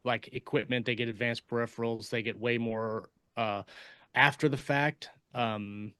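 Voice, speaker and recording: Male podcast panelist, mid-pitched, halting explainer, casual delivery, dry recording. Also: steady tone, bright, forceful tone, clean tone, no echo. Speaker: podcast panelist and fiction writer.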